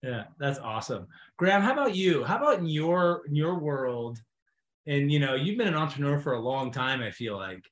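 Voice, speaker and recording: A man's voice: on the high side, sing-song, brisk. A middle-aged man moderating a founders' panel, chatting casually and rambling a little into his own experience. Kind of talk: casual interview chat. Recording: roomy, echoing sound.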